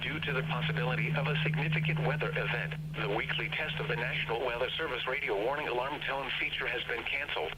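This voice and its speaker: An automated-sounding male weather radio announcer reading a formal scripted bulletin in a measured, impersonal way over a radio broadcast. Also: mid-range voice, fairly even intonation, highs cut off. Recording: noisy radio speaker picked up by microphone.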